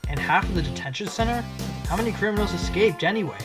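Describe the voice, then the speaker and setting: A man voicing a wry, sharp-witted fox defense attorney in a fan audio drama, insistent as he questions a witness. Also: high voice, animated, quick pace. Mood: challenging. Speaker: defense attorney cross-examining. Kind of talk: fan audio drama acting with inner monologue. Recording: loud music under the voice. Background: loud music.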